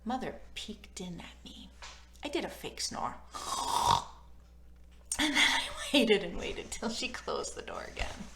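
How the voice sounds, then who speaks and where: Woman, children's read-aloud host, slow pace, very animated, playful, roomy echo. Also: mid-range voice, vocal fry. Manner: playful, informal, addressing children. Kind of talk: children's storybook read-aloud and chatty intro. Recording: roomy, echoey room sound.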